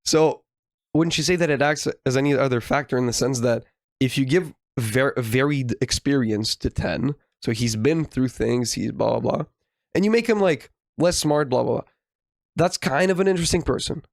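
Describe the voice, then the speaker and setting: A young man with a mid-pitched voice speaks in a very dry recording. He is a podcast co-host, thinking out loud casually and haltingly as he builds an argument. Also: creaky, no echo. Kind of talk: casual philosophical discussion.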